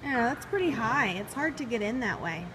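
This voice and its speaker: A woman filming her toddler at an indoor mall play area and talking to the child gently in short, simple phrases. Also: on the high side, very animated, a little fast. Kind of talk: child-directed talk. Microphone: phone camera held by the off-screen speaker. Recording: loud ambient mall noise.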